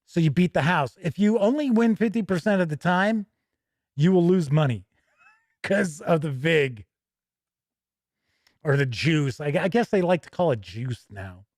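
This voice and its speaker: Animated man hosting a casual livestream. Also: on the high side, unhurried, pauses often, dry recording, clean studio sound. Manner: animated, folksy, jokey storytelling. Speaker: host of a tabletop gaming news livestream. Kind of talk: casual livestream chat with viewers.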